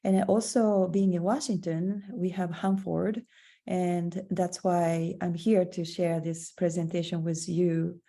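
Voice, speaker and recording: Woman, Japanese accent, native Japanese speaker, gravelly, halting, online talk. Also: lowish voice, level tone, dark tone. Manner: halting, searching for words. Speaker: artist presenting her work. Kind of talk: online slideshow presentation. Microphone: video call.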